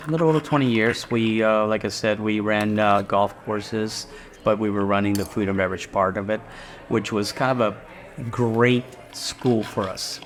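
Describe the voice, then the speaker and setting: A man's voice: lowish voice, fairly even intonation, moderate pace. A middle-aged man from Guatemala, a restaurant owner, chatting casually in an interview and rambling a little with fillers and restarts.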